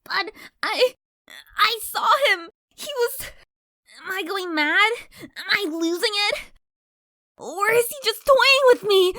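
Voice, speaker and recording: A woman's voice: very high voice, slow, pushed and bright, exaggerated voice. A woman voicing a frightened, frantic young filly in an acted cartoon fan fiction, with whimpering, pleading delivery. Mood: panicked, unraveling.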